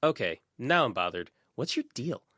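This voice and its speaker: Man voicing a pushy teenage schoolgirl, pitched up. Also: very animated, moderate pace, bright, forceful tone, no echo, studio quality. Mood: suspicious, demanding. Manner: probing, pushy questioning. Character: nosy, insistent teenage schoolgirl pressing for secrets.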